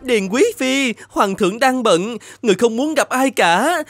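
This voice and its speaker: An actor playing a fussy, officious palace eunuch servant in an animated fairy tale, prim in manner. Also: male voice, measured pace, exaggerated voice. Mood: firm. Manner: prim, high-pitched. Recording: loud music behind the voice.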